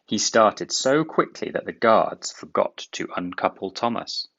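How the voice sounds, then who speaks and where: Slow, very animated male storyteller. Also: on the low side, creaky, lo-fi sound. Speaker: storyteller reading a children's book aloud. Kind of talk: expressive storybook narration.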